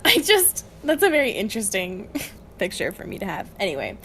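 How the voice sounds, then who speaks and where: A woman's voice: medium pitch, huge pitch swings, brisk, gravelly, fairly bright tone. A young woman co-hosting a casual podcast book chat, wry and giggly, with informal slangy phrasing. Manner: giggly, laughs often while talking. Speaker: podcast co-host. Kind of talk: casual chatty book discussion. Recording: faint background noise.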